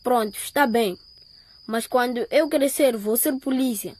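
A woman's voice: on the high side, unhurried, darkish tone, husky. A woman playing a spirited, boastful child who dreams big, in a radio drama. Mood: eager, boastful. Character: spirited child dreaming of becoming a strong police officer.

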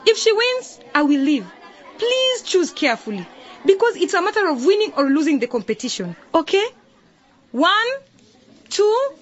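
An actress playing a bossy young choir leader in a radio drama, on a dull lo-fi recording. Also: female voice, very high-pitched. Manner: bossy, insistent.

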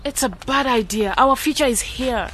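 Hopeful young graduate, high voice, persuasive, earnest, radio play, faint ambience noise. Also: female voice, moderate pace, a bit bright. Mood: pleading. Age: young adult. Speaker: radio drama actor. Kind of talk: radio drama dialogue.

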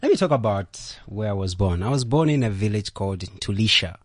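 A young man from Zambia, a leadership coach who is a studio guest, telling his life story in an animated way and often repeating words. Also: fairly low pitch, brisk, no room sound. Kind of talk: storytelling interview. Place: radio studio.

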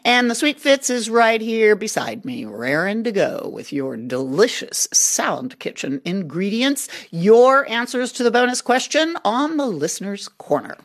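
A woman hosting a scripted radio programme in a chatty, expressive way. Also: medium pitch, measured pace, few pauses. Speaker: radio show host. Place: radio studio.

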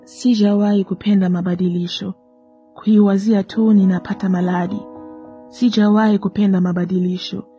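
Mellow woman reciting a mournful poem. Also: medium pitch, flat delivery, brisk, lo-fi sound, faint music behind. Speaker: poet. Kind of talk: poetry recitation.

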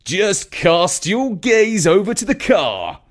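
Man playing a breezy, drawling, animated uncle. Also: high voice, slow pace, voice pushed up, exaggerated voice. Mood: breezy, pleased. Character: breezy, charmed, self-assured younger or middle-aged uncle.

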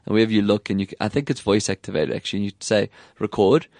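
Man, English South African accent, low-ish voice, chatty host, animated, dry close mic. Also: rapid-fire, gravelly, no room sound. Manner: chatty, animated, puts on voices when quoting. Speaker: podcast host. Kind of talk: casual tech chat.

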